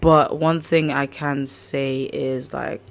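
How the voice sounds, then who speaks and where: A woman with a croaky, hoarse voice hosting a casual podcast, rambling and slangy as she chats. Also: a bass voice, little pitch movement, slow, faint music behind. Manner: chatty, slangy, rambling storyteller. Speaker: podcast host. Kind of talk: casual chat.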